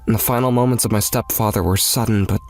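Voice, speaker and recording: A man's voice: lowish voice, fairly even intonation, fast talker, faint music behind, close to an everyday voice. A man voicing an earnest, protective teenage brother who narrates his own story in a manga dub. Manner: earnest, protective, self-doubting first-person narration. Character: earnest teenage high school big brother. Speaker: voice actor in a fan manga dub.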